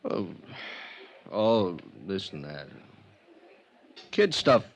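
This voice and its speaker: A wounded, defensive ex-husband in an old radio play. His low voice is halting and plaintive, full of grief. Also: sing-song, lo-fi sound, heavily acted. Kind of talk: 1950s radio drama acting.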